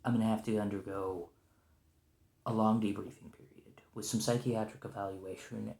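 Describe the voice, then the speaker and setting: A man acting a stressed, weary captive special agent in an ASMR roleplay, rambling, halting and apologetic as he pleads, in a roomy recording. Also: lowish voice, fairly even intonation, vocal fry, darkish tone. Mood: anxious, negotiating. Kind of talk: ASMR roleplay monologue, improvised conversational acting.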